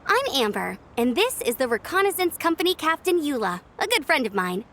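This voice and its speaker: A woman's voice: sits high, sing-song, a little fast, fairly bright tone, a little hiss or hum, heavily acted. A woman voicing a perky, outgoing young scout in a video game, eager, friendly and chatty. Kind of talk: video game character voice acting.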